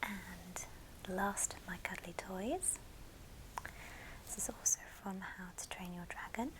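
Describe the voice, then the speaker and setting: A woman whispering softly and breathily in a gentle, affectionate ASMR show and tell. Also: mid-range voice, unhurried, very noisy. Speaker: ASMR video host.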